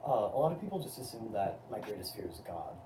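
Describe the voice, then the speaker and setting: A man's voice: on the low side, very animated, fast talker. A man playing a crude, boastful philosopher in an amateur comedy sketch, talking laid-back with lots of fillers. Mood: smug. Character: crude boastful male philosopher, slacker drawl. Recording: roomy, distant, noisy home recording.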